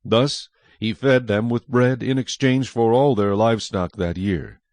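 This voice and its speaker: A man narrating scripture from a script as a measured, dramatic storyteller. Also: medium pitch, a little mellow, no room sound.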